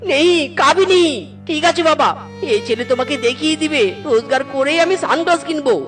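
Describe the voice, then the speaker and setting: A woman plays a cheeky young male fox out of a cartoon, high in pitch and forever scheming for money. He wheedles and exclaims theatrically. Music plays under the voice. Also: a little fast, close to an everyday voice. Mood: pleading, indignant. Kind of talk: animated cartoon dialogue.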